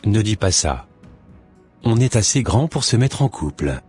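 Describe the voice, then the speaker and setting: A man's voice: fairly low pitch, big pitch swings, measured pace, darkish tone, slightly rough, soft background music, full character voice. A man voicing a teenage boy who persistently courts a girl, coaxing and insistent.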